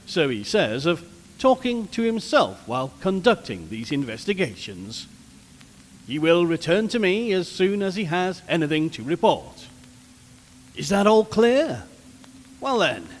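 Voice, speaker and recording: A man's voice: on the high side, exaggerated voice. A man playing an uneasy, chatty radio reporter who talks wryly to listeners from a haunted house in a horror audio drama. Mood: composed, explanatory. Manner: conversational, wry, addressing listeners. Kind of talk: live radio broadcast horror drama acting. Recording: long reverb, background hiss and ambience.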